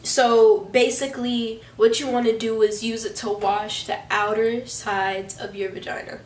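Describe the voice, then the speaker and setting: A woman's voice: a little high, level tone, a little slow, distant mic, a little hiss or hum. Chatty young woman, a bit stuffy, echoey room. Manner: chatty, rambling, frequent restarts and fillers. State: stuffy from a cold. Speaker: beauty and hygiene vlogger. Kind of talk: casual talk to camera.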